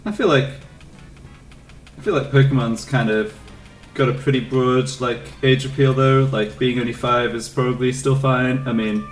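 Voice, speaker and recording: A young Englishman with an English accent talks loosely with his stream chat while playing, answering questions as they come in. His voice sits at an ordinary pitch and sounds a bit distant from the mic in a roomy space, with game music playing underneath. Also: moderate pace. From England. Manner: relaxed, rambling, reads and answers chat.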